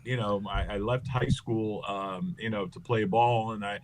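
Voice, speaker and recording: A man's voice: on the low side, fairly even intonation, measured pace, noisy background. A middle-aged American man, a comedian guest, telling rambling, joking anecdotes full of fillers in a casual interview. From United States.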